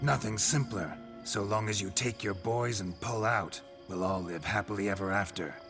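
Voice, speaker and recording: A hard-bitten mercenary monster hunter from a fantasy game, acted by a man. His voice is low and full of gravel. He talks in terse, deadpan, sardonic lines over clearly audible music. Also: normal speed, close to an everyday voice. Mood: coolly threatening. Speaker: character actor in a fantasy video game. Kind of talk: cinematic game dialogue.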